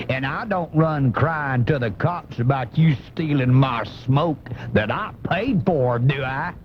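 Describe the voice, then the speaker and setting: A man voicing an angry, blustering American redneck commentator in a folksy, indignant comic radio rant. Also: mid-range voice. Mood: belligerent. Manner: folksy, indignant, drawn-out insults. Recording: muffled off-air cassette, faint music.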